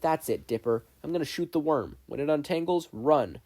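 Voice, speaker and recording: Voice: mid-range voice, lively intonation, normal speed, warm tone, pitched above the performer's own voice. A narrator voicing an intense, urgent, regretful older great-uncle in a fan fiction reading. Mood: urgent, firm. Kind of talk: fan fiction audiobook character voice.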